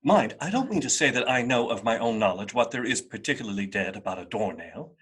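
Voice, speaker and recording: Radio play narrator, male, mid-pitched and very animated, husky, dramatic and wry storybook delivery. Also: fairly quick. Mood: wry, emphatic. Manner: theatrical, emphatic, wry.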